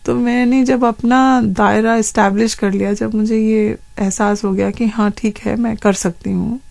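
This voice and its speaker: Female interview guest. Fairly high voice noticeable fry, explaining personal experiences candidly in a conversational way. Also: steady tone, a little slow, darkish tone, dry recording. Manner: candid, explanatory, mixes English words into speech.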